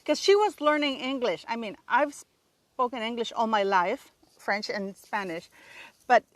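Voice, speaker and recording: A woman's voice: on the high side, animated, unhurried, dry recording. A woman with a Canadian accent giving an indignant, rambling solo commentary as host of her own channel. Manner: emphatic, indignant, rambling with restarts.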